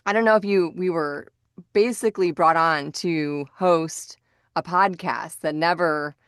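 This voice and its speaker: A woman's voice: lowish voice, a little slow, a bit bright, clean tone, no echo, clean studio sound. A woman hosting a podcast, talking in a chatty, informal, rambling way. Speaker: podcast host. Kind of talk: casual chat.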